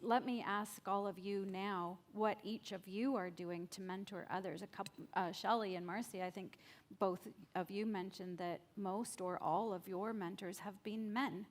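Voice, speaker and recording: A woman moderating a conference panel, putting thoughtful questions to the speakers. Her voice sits in a middling pitch, and she often restates the panelists' points before asking the next one. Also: fairly even intonation, measured pace. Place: conference stage.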